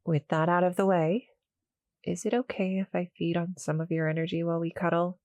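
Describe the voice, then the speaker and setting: A woman voices a shy, gentle monster girl in an intimate audio roleplay. Her voice is low for a woman and recorded very dry and close. She talks softly and hesitantly, polite and apologetic toward the listener. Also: steady tone, fairly quick, dry recording, lightly acted. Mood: gentle, reassuring. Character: shy, gentle female cuddle-monster succubus.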